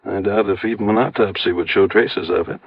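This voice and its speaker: Grave, low-voiced radio doctor. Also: male voice, a little fast, slightly rough. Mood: clinical, earnest. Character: earnest, precise male small-town doctor and medical sleuth. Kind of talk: 1950s radio drama acting. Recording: dry, dull old radio recording.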